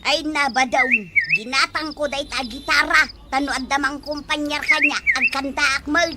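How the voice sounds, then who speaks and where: A woman's voice: high-pitched, steady tone, normal speed, heavy background noise, natural voice. A woman playing a grumbling villager in an Ilocano comedy skit. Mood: miffed.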